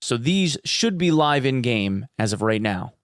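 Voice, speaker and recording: A man's voice: medium pitch, sing-song, unhurried, smooth, no room sound, studio quality. A man hosting a gaming news video in a chatty, informal way with playful emphasis. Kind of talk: casual news commentary with sponsor read.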